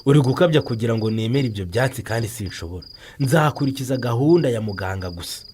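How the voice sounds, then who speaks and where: Radio play casting: male actor as grown son from a village, firm and protesting, quick delivery, mid pitch. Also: animated, darkish tone, a little hiss or hum, lightly acted. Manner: emphatic, protesting. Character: adult village son, firm, arguing with his mother. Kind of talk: radio drama acting.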